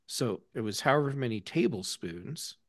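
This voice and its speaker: Male podcast host, expressive pitch, dry mic, relaxed and steering the chat. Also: normal speed, no room sound. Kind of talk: casual panel chat.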